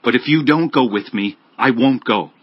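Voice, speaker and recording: A man's voice: medium pitch, moderate pace, muffled recording, natural voice. A man playing a reluctant, cautious Israelite army commander in a dramatized audio Bible. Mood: hesitant, insistent.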